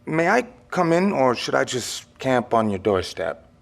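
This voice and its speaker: An actor playing a cagey, unflappable middle-aged man with secret knowledge, speaking in a measured, dry audio drama delivery. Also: mid-range voice, big pitch swings, creaky. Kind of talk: naturalistic audio drama acting.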